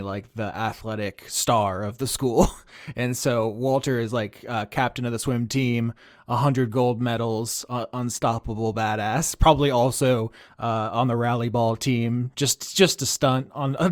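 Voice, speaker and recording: A man narrating a tabletop game in a loose, halting way, full of fillers and laughs. His voice is mid-pitched and dry, with no room sound. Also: steady tone, no echo. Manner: casual, halting, laughs often. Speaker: game master.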